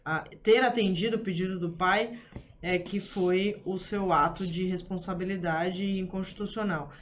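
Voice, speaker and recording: A woman's voice: on the low side, steady tone, moderate pace, slight background noise. A woman hosting a political commentary discussion, who talks hesitantly with many fillers and restarts. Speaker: host.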